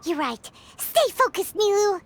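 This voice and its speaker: A tiny game sprite with an extremely high, squeaky, childlike voice. The little companion is excitable and loyal, swooping wildly in pitch as it cheers. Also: female voice, measured pace, slight background noise, exaggerated voice. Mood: excited, supportive. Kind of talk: scripted video game voice acting.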